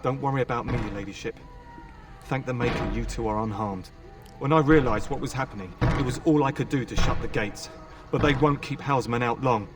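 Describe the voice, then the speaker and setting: A man's voice: medium pitch, fairly quick, natural voice. A man playing a loyal, earnest knight in a fantasy game, speaking with formal deference and urgency. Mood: grave. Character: loyal, urgent knight defending a besieged castle. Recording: distant game audio under music.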